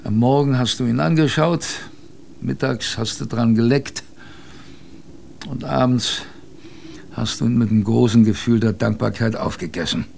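A man's voice: mid-range voice, normal speed, vocal fry, pauses often, a little mellow, slight background noise, close to an everyday voice. A man playing a former polar explorer who recounts past hardships in a wry, conversational way, as narrator of a German radio drama. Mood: wry, reminiscing. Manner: wry, colloquial, thoughtful.

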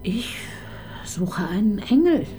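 A woman's voice: mid-range voice, sing-song, slow, mellow, faint music behind. An actress playing a guarded woman who answers in a defensive, curt way. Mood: defiant.

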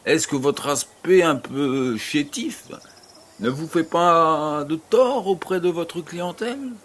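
A man's voice: a little high, animated, slow pace. A man playing a genial, curious aristocratic baron in an audio drama, urbane and a little condescending. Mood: polite doubt.

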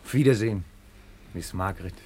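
An actor playing a polite, hesitant, smitten young police investigator in a 1950s German radio play, stammering a little. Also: male voice, low voice, brisk, darkish tone, slight background noise, natural voice. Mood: shy, flustered.

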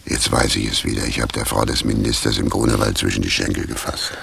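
An actor playing a drunken, crude, sardonic homeless tramp in a radio play, with a rasping voice and a theatrical delivery that swings between muttering and outcry. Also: male voice, very deep voice, little pitch movement, quick pace, a bit bright, full character voice. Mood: mocking. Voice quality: very gravelly, rasping. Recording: noisy 1980s radio play recording.

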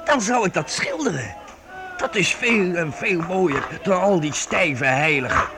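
An actor playing an earnest, dreamy youth with wide-eyed enthusiasm in a radio play. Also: male voice, sits high, lively intonation, measured pace. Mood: enraptured. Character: earnest, dreamy youth enraptured by colours, longing to paint. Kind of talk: Dutch radio play acting. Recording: noisy radio play recording.

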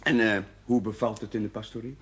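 An actor playing a gentle, easygoing village chaplain who speaks mildly. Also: male voice, lowish voice, fairly even intonation, fast, a little hiss or hum, natural voice. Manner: mild, gentle, chuckling. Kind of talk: 1970s TV drama acting.

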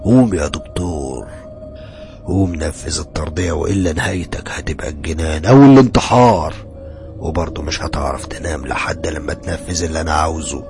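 Man voicing deep, gravelly, mocking Egyptian demon. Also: a little mellow, soft background music. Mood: mocking menace. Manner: slow, taunting, threatening.

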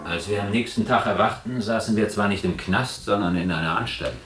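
A man playing an ironic, bookish East German tippler in a radio play. His voice is fairly low, in a roomy space, and he tells his escapades with mock-learned wit. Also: brisk, music in the background, close to an everyday voice. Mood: dreamy wry. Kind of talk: radio play narration and dialogue.

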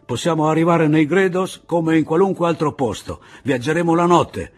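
A man voicing a gruff older deaf guerrilla chief who talks loudly and bluntly, in an audiobook. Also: on the high side, normal speed, pitched above the performer's own voice, lightly acted. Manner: loud, blunt, near-shouting.

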